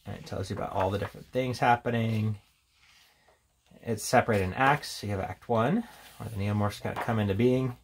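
A man giving a casual, unscripted walkthrough as a tabletop game reviewer, talking in a rambling, halting way with casual enthusiasm. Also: fairly low pitch, creaky. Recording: steady background noise, handling clicks.

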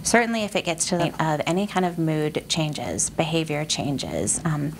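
A woman's voice: low voice, animated, slight background noise. A woman doctor explaining warning signs in an interview. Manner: explanatory, measured. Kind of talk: expert interview.

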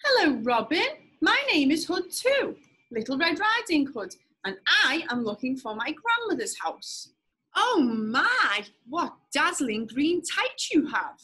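A woman's voice: sits high, sing-song, measured pace, pushed and bright, full character voice. A woman playing a polite, wide-eyed fairytale girl in an exaggerated pantomime style. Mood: sweet, marvelling. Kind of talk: children's pantomime acting.

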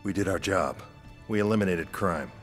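An actor playing a hardline space-cop hero who speaks bluntly and coldly. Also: male voice, low-pitched, moderate pace, faint music behind, natural voice. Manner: blunt, cold, threatening.

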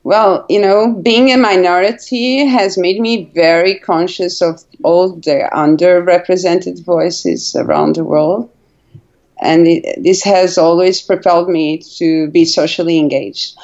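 A woman's voice: lowish voice, fairly even intonation, a little slow, darkish tone, lo-fi sound. A woman in her forties from Portugal, a guest telling her story in a casual interview, hesitant with many fillers and restarts. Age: 40s. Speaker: guest, brand founder.

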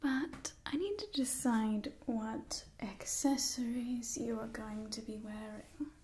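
Vampire clan matriarch for ASMR roleplay, played by a young woman: mellow breathy whisper, unhurried, imperious yet doting. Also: fairly high pitch, slight background noise. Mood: haughty, instructing. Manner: slow, deliberate, condescendingly affectionate. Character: aristocratic female vampire clan leader, coolly doting, imperious. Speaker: ASMR performer. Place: small indoor set with wooden wall. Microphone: close ASMR microphone.

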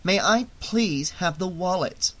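A man's voice: on the high side, expressive, moderate pace, bright, forceful tone. Casting: man as tough, irritable woman, clipped and controlled delivery, audiobook heroine under strain. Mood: cold restraint. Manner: curt, controlled through clenched teeth. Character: tense, hard-edged, short-tempered woman.

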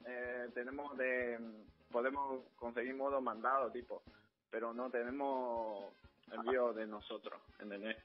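A man's voice: medium pitch, level tone, measured pace. A man who runs a shop, chatting casually on the phone in a polite, hesitant way. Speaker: supermarket owner taking a phone call. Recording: dull phone audio, faint music behind.

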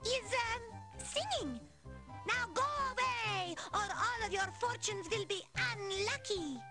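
A shifty, bossy carnival fortune-teller puppet for a children's game. She speaks slowly at a piercingly high pitch, over music. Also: expressive, full character voice. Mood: defensive, threatening. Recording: music under the voice.

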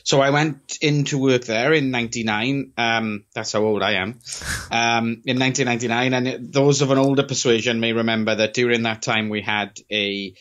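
A man's voice: mid-range voice, steady tone, moderate pace, a bit bright, highs cut off. A middle-aged man with a thick South Welsh accent, chatting casually as a podcast guest over a video call, self-deprecating and full of restarts. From South Wales. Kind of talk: casual interview chat. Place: small home office. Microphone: headset with studio mic on video call.

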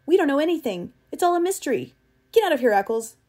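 A hard-bitten man who guides hunts through time, acted by a woman narrator, barking orders in a storybook reading. He sounds angry and accusing, pushed above the narrating voice and louder. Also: mid-range voice, moderate pace, lightly acted. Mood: angry, commanding.

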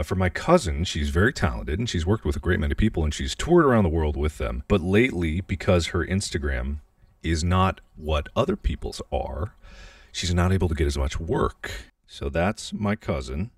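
A man in his thirties chatting casually and quietly in a small bedroom, holding a handheld mic, rambling and self-deprecating. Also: rumbling low, no room sound, studio quality, recorded from a distance. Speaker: YouTuber. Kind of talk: casual vlog chat. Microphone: handheld podcast mic, held awkwardly.

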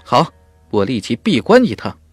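A man's voice: mid-range voice, huge pitch swings, normal speed, husky, no room sound, faint music behind. Audiobook hero, read by a man: young male martial cultivator, determined, urgent, dry sound. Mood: resolute.

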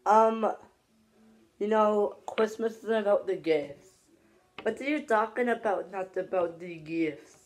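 Brief: slow-talking teen boy from Canada, casual home vlogger, lecturing tone, laptop mic. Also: high voice. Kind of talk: casual comedy vlog with puppet. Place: home dining room. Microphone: laptop webcam.

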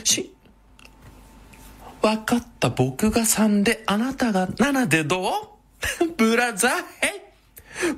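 A man's voice: extremely high pitch, husky, full character voice. An actor playing a flamboyant, effeminate, scheming villain man in a radio drama, campy, theatrical and wheedling. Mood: pleading. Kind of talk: Japanese radio drama acting.

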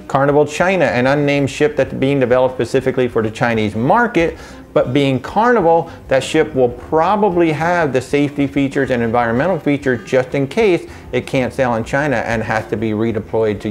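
Middle-aged man briskly listing items. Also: mid-range voice, darkish tone. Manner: brisk listing with wry asides. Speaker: travel vlogger. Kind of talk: casual rundown to camera. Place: home office indoors.

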